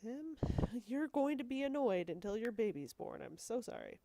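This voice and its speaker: A woman's voice: fairly high pitch, sing-song, moderate pace, dark tone, dry recording. Stuffy-nosed woman rambling over gameplay. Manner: chatty, self-deprecating, apologetic asides. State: stuffed up from a cold, a bit wheezy. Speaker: gaming video host. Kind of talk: casual rambling gameplay commentary.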